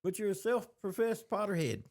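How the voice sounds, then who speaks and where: A man's voice: sits high, sing-song, normal speed, darkish tone. A man co-hosting a podcast in casual conversation, putting his thoughts together in a hesitant, reflective way. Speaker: podcast co-host. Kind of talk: casual chat.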